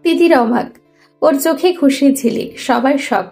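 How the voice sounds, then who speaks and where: High-pitched woman narrating a story. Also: moderate pace, creaky, a little mellow, soft background music.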